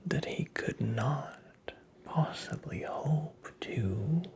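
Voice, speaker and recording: A performer playing a creepy, teasing, very old male magical imp in a whispered ASMR roleplay, with breathy, creaky, theatrical delivery. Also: medium pitch, lively intonation, a little mellow. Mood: gloating, sly. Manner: slow, theatrical, taunting, toying with the listener.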